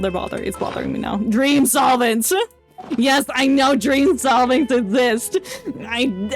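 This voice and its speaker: Unhurried, irreverent woman streamer. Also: on the high side. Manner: irreverent, rambling, reacting aloud. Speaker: game streamer. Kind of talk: casual livestream commentary on a game. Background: game music.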